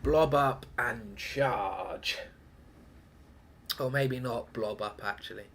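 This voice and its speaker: A man with a stuffy, blocked-up voice from a heavy cold narrates his gameplay at an unhurried pace. He talks to himself, chuckles often, and barks the odd battle call. His voice sits in an ordinary middle register. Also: medium pitch, a bit bright, slight background noise. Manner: chatty, laughs often, mutters to himself between battle calls. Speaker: gaming streamer. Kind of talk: live gameplay commentary.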